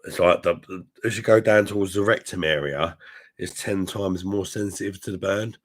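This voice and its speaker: A man hosting a live stream, chatting with his viewers in a rambling, crude way full of swearing, his voice hoarse because he is ill. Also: deep voice, fairly even intonation, moderate pace. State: hoarse, unwell. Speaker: livestream host reading chat. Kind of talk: casual crude drinking chat.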